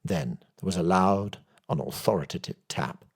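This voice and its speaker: A man's voice: low-pitched, expressive, moderate pace, husky. A man reading literary fiction aloud as a scripted audiobook narration. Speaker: audiobook reader.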